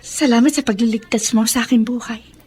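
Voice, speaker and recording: A Filipino actress playing a wealthy, wronged and remorseful matron in a radio drama, with emphatic delivery. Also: female voice, a little high, steady tone, fairly quick, a little mellow, a little hiss or hum, lightly acted. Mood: remorseful, grateful. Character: wealthy matronly woman, wronged, fierce yet deeply remorseful.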